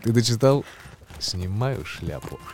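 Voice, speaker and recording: An actor playing a wry, agitated storyteller in a radio play, reciting a ghoulish verse tale in an expressive, theatrical manner. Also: male voice, on the low side, measured pace. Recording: music behind the voice. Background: music.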